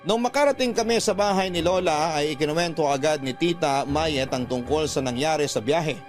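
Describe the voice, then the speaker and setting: A man playing a skeptical letter sender, narrating his confession in a measured radio-drama storytelling style. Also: a little high, natural voice. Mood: reflective. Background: music.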